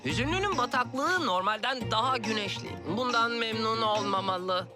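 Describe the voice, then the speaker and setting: A woman's voice: a little high, lively intonation, moderate pace, music in the background, close to an everyday voice. A woman voicing a frank, wry sidekick in a dubbed children's cartoon. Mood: wry, doubtful.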